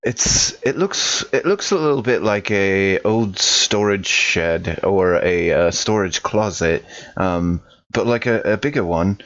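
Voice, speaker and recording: A man with a very low voice describes his character's surroundings in a tabletop game, pausing often and filling the gaps with fillers. His audio sounds muffled and lo-fi, and he slips in the odd joke. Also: lively intonation, fairly bright tone, no room sound. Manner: halting, lots of fillers, joking. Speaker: player. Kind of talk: actual-play tabletop game. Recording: dull lo-fi audio.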